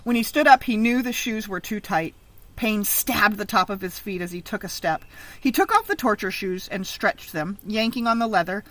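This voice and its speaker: A woman reads a storybook to children like a teacher. Her voice is bright and mid-pitched, and her narration is expressive and deliberate in its emphasis. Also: dry recording, poor audio. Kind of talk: expressive children's read-aloud.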